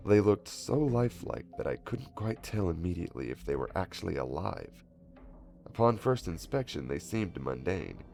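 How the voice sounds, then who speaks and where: A man narrates a dark first-person story in a very deep, gravelly voice. His delivery is dramatic and moves up and down a lot. Faint music plays underneath.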